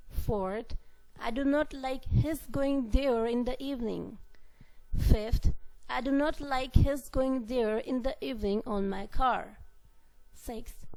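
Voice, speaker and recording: Woman, university lecturer, slightly high warm voice, patient and repetitive. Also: gravelly, rough recording. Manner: explanatory, repeats and rephrases points, reads out example sentences. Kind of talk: classroom lecture.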